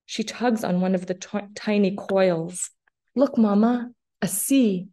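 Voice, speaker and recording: A woman in her thirties, born in Afghanistan with Farsi as her first language, reads poetry in a measured, deliberate way over a video call from a small office. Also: mid-range voice, warm tone. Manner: measured, deliberate recitation with long pauses. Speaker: poet. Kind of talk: slow poetry reading. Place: small office room indoors. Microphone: laptop webcam video call.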